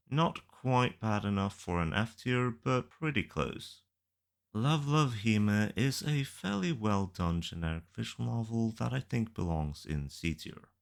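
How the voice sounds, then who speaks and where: A man reading a scripted review as a narrator, in a plain-spoken, opinionated way. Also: on the low side. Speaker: video reviewer ranking visual novels.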